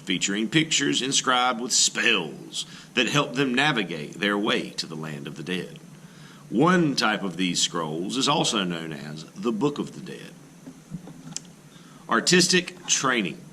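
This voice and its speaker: A man with a fairly low, bright voice reads an educational text aloud like a teacher. His pitch moves animatedly as he stresses key words and pauses between phrases. Faint noise sits behind the voice. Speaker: art teacher reading aloud. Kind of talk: read-aloud lesson narration with brief explanatory asides.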